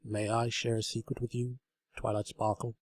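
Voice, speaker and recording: A man's voice: medium pitch, level tone, moderate pace, breathy edge, dry recording, close to an everyday voice. Man voicing a shy, lovestruck talking bedside table, dry sound. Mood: shy longing. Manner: earnest, courtly, wistful, formal. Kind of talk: comedic storybook reading.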